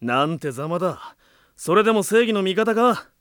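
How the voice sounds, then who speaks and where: A young male lone-wolf hero, cool and curt. The voice is forceful and close, with lively swings and cocky, rough phrasing in cartoon drama style. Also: high voice, normal speed, no echo. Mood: brusque, urgent. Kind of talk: Japanese audio drama cartoon acting.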